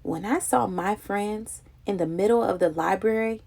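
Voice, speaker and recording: A woman reading a children's picture book aloud in an expressive, dramatic, childlike storytelling manner. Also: medium pitch, moderate pace, vocal fry.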